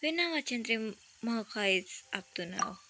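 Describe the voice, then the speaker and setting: A woman talks casually as she plays, musing to herself. Her pitch is a little high and very lively. Loud game music plays under her voice. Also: moderate pace. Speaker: gamer.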